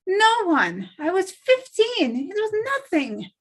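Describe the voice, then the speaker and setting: Woman playing a high-voiced, flustered young waitress. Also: very animated, takes it slowly, full character voice. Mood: defensive. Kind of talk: table read acting.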